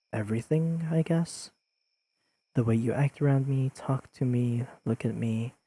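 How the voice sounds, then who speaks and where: A man playing an earnest, awkwardly analytical young best friend in an intimate audio roleplay, speaking haltingly and carefully to the listener. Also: mid-range voice, slightly rough, dry recording. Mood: gently certain. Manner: halting, careful, softly pleading, slightly formal wording.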